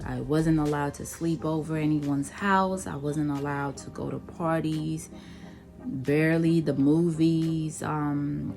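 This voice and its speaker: Slow-spoken woman in her thirties. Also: low voice, a little mellow. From Nigeria. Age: 30s. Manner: slow and deliberate, many ums and pauses. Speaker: vlogger. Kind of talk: casual personal introduction to camera.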